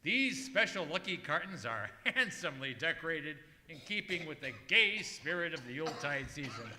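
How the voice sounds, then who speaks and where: A man's voice: a little high, sing-song, normal speed, a little hiss or hum. A man announcing in a formal, declamatory old-time radio style, reading from a script on stage. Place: museum performance space, live before an audience. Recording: echoey hall with loud music.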